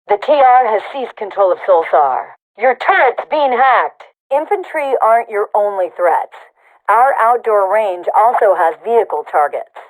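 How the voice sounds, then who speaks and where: A woman playing an authoritative military commander who makes scripted announcements in a sci-fi game, with crisp delivery through a radio comms filter. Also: mid-range voice. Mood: grave reporting, then urgent, clipped alert. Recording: narrow phone-like radio sound.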